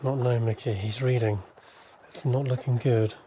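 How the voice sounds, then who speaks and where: A man's voice: mid-range voice, steady tone, normal speed, dark tone, slight background noise, lightly acted. A man acting an earnest, questioning soldier of faith in a First World War radio drama. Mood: subdued. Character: hopeful, earnest soldier of faith in WW1 trenches.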